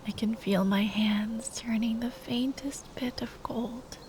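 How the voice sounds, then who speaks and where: A woman playing a tender, grieving spellcaster lover in an ASMR roleplay, speaking in a breathy, halting whisper. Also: on the high side, fairly even intonation, a little mellow, a little hiss or hum. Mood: tender, sad. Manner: halting, tender, sighing delivery.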